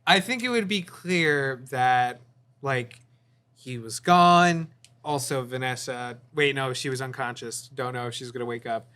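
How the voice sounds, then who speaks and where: A man's voice: mid-range voice, measured pace, bright, forceful tone, smooth. A man co-hosting a casual movie discussion, often hesitant and trailing off mid-sentence. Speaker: podcast co-host.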